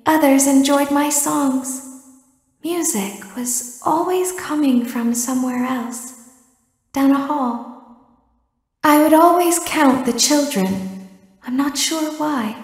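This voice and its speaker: A woman voicing an eerie, childlike animatronic girl in a video game, wistful and sinister, speaking in a measured, detached way with deliberate pauses. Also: sits high, darkish tone. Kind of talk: video game character monologue. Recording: echoey hall with long reverb.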